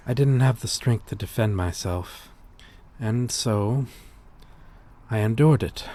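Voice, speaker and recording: Weary gentle vampire outcast, played by a man, very slow delivery, lowish pitch, hesitant and formal, intimate ASMR roleplay. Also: a little hiss or hum. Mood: sad, resigned. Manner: hesitant, confessional, formal old-fashioned wording.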